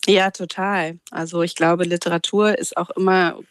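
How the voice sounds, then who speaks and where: A woman guest on a radio show, talking about books in a relaxed, casual way. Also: on the low side, normal speed, no echo. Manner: relaxed, casual, fillers like "total". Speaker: literary critic guest.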